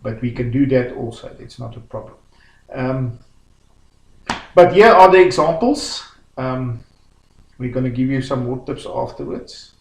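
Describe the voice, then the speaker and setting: A man's voice: medium pitch, unhurried, pauses often. A South African man in his fifties, talking casually with many fillers and restarts while presenting a service and his own work history. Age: 50s. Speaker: small business owner presenting a service. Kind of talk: casual promotional talk and self-introduction. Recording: echoey room with faint background noise.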